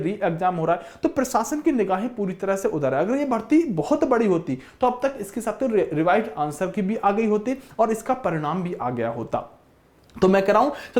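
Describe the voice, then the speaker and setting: A man's voice: high voice, a little mellow. A man speaking as an exam coaching teacher, explaining informally and emphatically, with repetition and frequent direct address to students.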